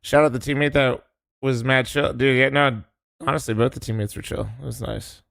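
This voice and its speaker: Young guy streamer, voice going after a long day, gravelly and dry, chill swearing. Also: mid-range voice, steady tone, moderate pace, a bit bright, no echo. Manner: swears casually, laid-back, trash-talky. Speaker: streamer playing with teammates. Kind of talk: casual gaming stream chat.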